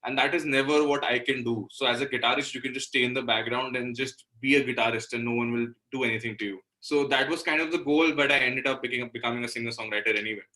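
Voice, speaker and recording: A man's voice: mid-range voice, steady tone, fast talker, hardly any pauses, bright, forceful tone, low-quality recording. A young man from Delhi with an Indian accent, talking casually and self-deprecatingly as a musician guest in an interview. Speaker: interview guest, singer-songwriter and guitarist.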